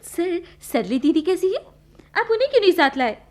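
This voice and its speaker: A woman's voice: extremely high pitch, big pitch swings, fairly quick, darkish tone. An actress in a radio play playing a woman who adores her old drama teacher, respectful and gushing, with English mixed in. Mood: concerned. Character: adoring female former drama student, deferential admirer of her teacher.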